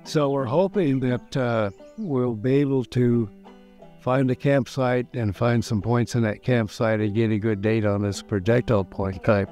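An older man with an American accent speaks haltingly in a documentary interview, pausing mid-sentence as an archaeologist explaining fieldwork. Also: mid-range voice, warm tone. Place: outdoors at a dig site. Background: music.

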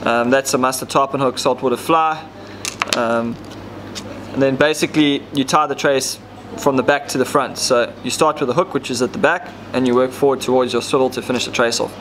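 Relaxed man demonstrating tackle. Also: medium pitch, fairly quick, noisy background. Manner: relaxed, explains step by step with frequent ums. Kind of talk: casual how-to demonstration. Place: indoor tackle shop counter.

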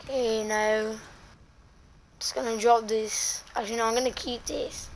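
A woman's voice: a little high, level tone, unhurried, slight background noise, low-quality recording. A young woman giving casual, sweary gameplay commentary, exhausted, with her voice nearly gone. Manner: slangy, sweary, addresses viewers as boys. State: exhausted and hoarse. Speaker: gaming video creator.

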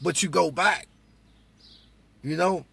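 A man's voice: fairly high pitch, slow, gravelly, bright, forceful tone, husky, no room sound. A middle-aged American man preaching off the cuff in conversation, emphatic and repetitive, as if testifying. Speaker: religious speaker. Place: inside a parked vehicle.

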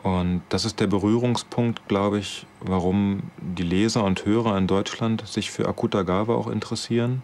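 Male interviewee, 40s, deep voice, thoughtful and halting, noticeable background noise. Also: steady tone, darkish tone. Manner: measured, halting in short thoughtful phrases. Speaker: radio drama director. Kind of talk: reflective interview talk. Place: indoors, radio studio control room.

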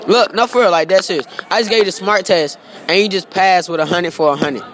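Young male vlog host, casual, slangy, playful teaser, recorded amid clear crowd chatter. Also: high voice, fast talker, a bit bright. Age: teens to young adult. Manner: teasing, playful. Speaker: street interviewer and host. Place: indoor school gym bleachers and classroom. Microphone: handheld phone used as mic. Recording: busy gym and classroom chatter behind.

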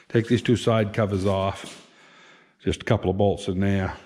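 A man's voice: fairly low pitch, darkish tone. An older man narrating a tractor engine repair in a large workshop, thinking aloud in a plain-spoken way as he works, often some way from the camera mic. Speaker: farm vlogger. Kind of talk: casual how-to narration. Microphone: camera mic, sometimes across the shop. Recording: noisy shop recording.

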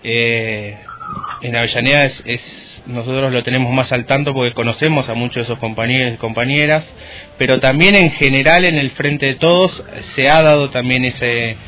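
A man's voice: mid-range voice, normal speed, lo-fi sound. A man with a Rioplatense Argentine accent, interviewing conversationally as a radio host.

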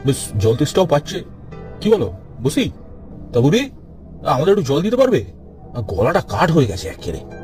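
A man playing an excitable, nervous young petty thief in a cartoon ghost story, whiny and blustering. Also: on the high side, moderate pace, long pauses, soft background music. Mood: eager, puzzled. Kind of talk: cartoon horror story acting.

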